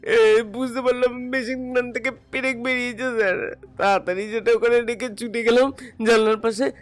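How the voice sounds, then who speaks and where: A man acting a frightened, apologetic young labourer in an audio detective drama, halting, stammering and pleading with deference. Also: extremely high pitch, fairly even intonation. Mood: frightened, agitated.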